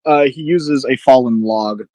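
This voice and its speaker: A man's voice: mid-range voice, a little slow, darkish tone, no echo. A man chatting casually as a player in a tabletop role-playing game, asking questions and stating his character's moves.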